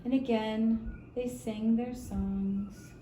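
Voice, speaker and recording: A woman telling a scripted story for young children in measured, deliberate phrases with long pauses, recorded in a roomy space away from the mic. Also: on the high side, steady tone, mellow. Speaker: storyteller for a kindergarten puppet show. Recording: roomy, distant mic with faint noise.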